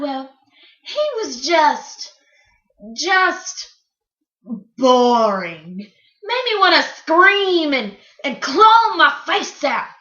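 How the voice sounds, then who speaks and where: A woman performing a dramatic monologue as a chatty, wistful country singer, telling her story in a folksy, theatrical way with hesitant pauses. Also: sits high, pushed and bright, full character voice. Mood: wry, chatty. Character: wistful, chatty female country singer, dreamy yet defiant. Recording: roomy, slightly dull recording.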